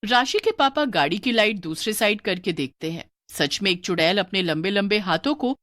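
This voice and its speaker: A woman narrating a scripted horror story in a steady storybook manner. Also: mid-range voice, normal speed, dry recording, studio quality.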